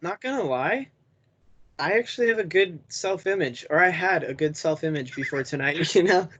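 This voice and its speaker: A man's voice: on the high side, lively intonation, normal speed, a bit bright, dull audio. A young man guesting on a casual podcast over a voice call, speaking in slangy, playfully sarcastic banter.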